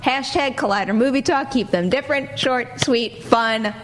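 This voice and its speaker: A woman with a fairly high voice hosts a panel discussion. She is conversational but articulate and organized in how she puts her points across. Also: normal speed, a little hiss or hum. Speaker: host. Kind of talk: conversational panel show hosting.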